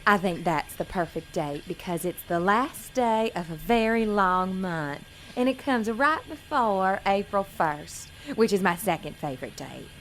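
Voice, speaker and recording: A woman as a slow, sweet pageant hopeful. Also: medium pitch, lively intonation, fairly bright tone, slight background noise. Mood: earnest, rambling. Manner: apologetic, flustered, naive. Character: sweet, nervous, dim-witted young pageant contestant. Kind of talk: audio drama acting.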